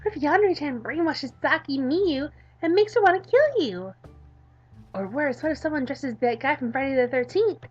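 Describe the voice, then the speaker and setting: A woman plays a peppy, oblivious girl for a visual novel, high and very swoopy. She gushes and pleads, always eager to help, over a music bed. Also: normal speed, dull audio. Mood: eager, gushing. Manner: excitable, pleading, gushing.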